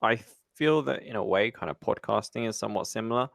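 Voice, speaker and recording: A man's voice: fairly low pitch, a little fast, creaky, a bit bright, no echo, clean studio sound. A man hosting a podcast, interviewing conversationally with loose, tentative phrasing. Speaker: podcast host.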